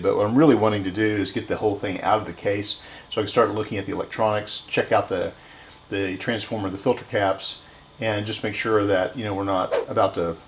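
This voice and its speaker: A man with a fairly low voice and plenty of fry hosts a hands-on repair video. He talks casually and haltingly, thinking aloud as he works. There is faint noise and some clicking in the recording.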